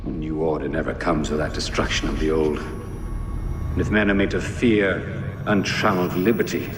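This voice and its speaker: An actor playing a calm, older zealot villain in a video game, speaking in measured, grandiose oratory. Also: male voice, extremely low pitch, over loud music. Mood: solemn, menacing. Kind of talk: dramatic video game cutscene acting. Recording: echoey long reverb, loud background noise.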